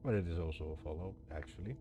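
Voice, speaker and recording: A man's voice: deep voice, quick pace. A man hosting a game playthrough with casual, musing commentary, often posing questions to the viewer. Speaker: game streamer and host. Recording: clear background noise, ambience. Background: ambient noise.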